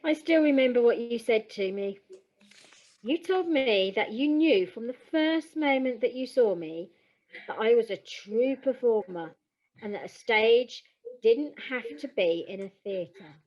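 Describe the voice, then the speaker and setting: An actress playing an earnest, wounded young stage hopeful, speaking plainly and from the heart in an audio play. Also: female voice, on the high side, animated, a little slow, dull audio. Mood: heartfelt.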